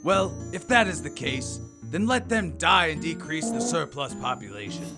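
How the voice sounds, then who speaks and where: A man's voice: fairly high pitch, very animated, normal speed. An actor in a radio play voicing a grumpy, miserly old businessman, scornful and blustering. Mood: cold scorn. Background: music.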